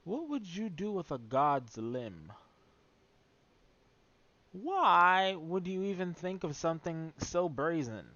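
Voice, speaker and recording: A man's voice: sits high, very animated, takes it slowly, long pauses, pushed and bright, dull audio. A man streaming a video game with casual, sarcastic, teasing asides at the story. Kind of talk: casual live commentary over gameplay.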